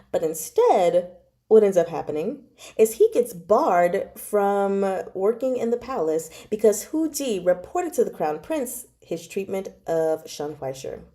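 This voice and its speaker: A woman chatting casually as she retells a story, in an animated, giggly, slangy way that often wanders off track. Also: medium pitch. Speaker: book club vlogger. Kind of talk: casual chat retelling a novel.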